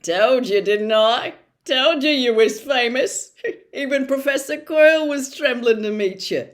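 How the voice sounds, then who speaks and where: A woman's voice: high voice, a little slow, voice pushed up. A woman voicing a huge friendly man who talks in a blunt, hearty, homely way.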